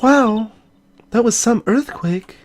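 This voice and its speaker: A woman's voice: on the high side, slow, a little mellow, faint music behind. A woman playing a curious, naive and playful young female sidekick in a voiced video game. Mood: awed. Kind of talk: fan voice acting of video game dialogue.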